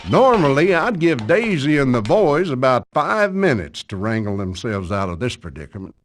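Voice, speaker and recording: Game storyteller male actor: wry down-home narration, animated rise and fall, country yarn feel. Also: medium pitch, moderate pace, slight background noise, close to an everyday voice. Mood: wry, amused. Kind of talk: folksy scripted narration.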